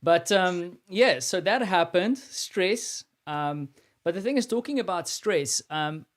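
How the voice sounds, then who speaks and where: A man's voice: sits high, slow. A man with a South African accent hosting a live stream, talking casually and rambling with many fillers and restarts.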